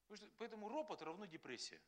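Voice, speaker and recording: A man preaching a sermon as pastor, animated and acting out quotes, often laughing. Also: high voice, racing. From Russia.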